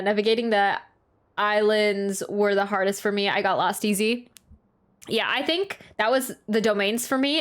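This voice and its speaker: A woman chatting casually as she streams and reacts to a video game, in an informal, rambling, halting way. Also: medium pitch, fairly bright tone. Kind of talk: casual live reaction chat.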